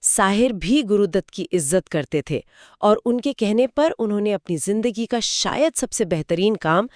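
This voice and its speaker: A woman's voice: mid-range voice, lively intonation, normal speed, a little mellow, no room sound. Articulate woman, dry close sound. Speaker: podcast co-host. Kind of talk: conversational film commentary, explanatory.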